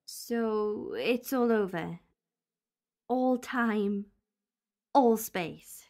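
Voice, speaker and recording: A woman's voice: mid-range voice, extremely slow, mellow. A woman playing an anxious, homesick and stubborn young traveller in a sci-fi audio drama, questioning and protesting. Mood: subdued, worried.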